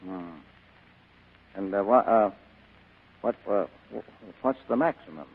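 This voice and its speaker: An actor playing a tough, slangy private detective in a 1940s radio mystery. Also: male voice, lowish voice, slow, slightly rough, exaggerated voice. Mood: groggy, stammering. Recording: tinny, noisy vintage radio broadcast.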